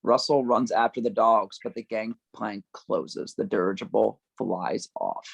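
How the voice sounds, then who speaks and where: A man narrating a screenplay's stage directions at a table read, with a gravelly voice. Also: on the low side, fairly even intonation, a little slow, dry recording, dull audio.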